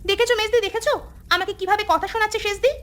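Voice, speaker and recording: A woman's voice: very high voice, steady tone, very fast, a bit bright. A woman voicing a bickering sister-in-law in a village family cartoon, scolding and complaining. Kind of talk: cartoon family drama dialogue.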